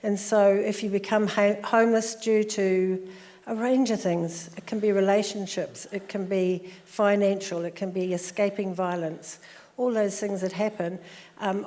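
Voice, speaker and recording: A middle-aged woman with a New Zealand accent, chatting warmly with plenty of ums in a church interview. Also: mid-range voice, steady tone, moderate pace. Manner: warm and chatty, with many ums. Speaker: social worker. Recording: echoey hall with long reverb.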